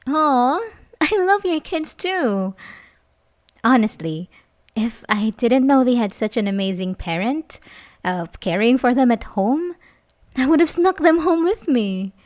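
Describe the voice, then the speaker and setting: A woman plays a young babysitter in an intimate ASMR roleplay, sweet on the surface and quietly obsessive underneath. Her voice is on the high side and she takes her time, halting and giggling softly as she coaxes the listener. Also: animated. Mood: giggly, fond. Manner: hesitant, halting, with little giggles. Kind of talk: ASMR roleplay acting, intimate one-sided dialogue.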